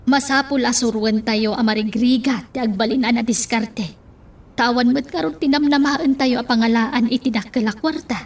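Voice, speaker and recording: A woman's voice: fairly high pitch, moderate pace, far from the mic. A woman playing a practical, scheming household attendant in a radio drama. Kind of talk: Filipino radio drama acting.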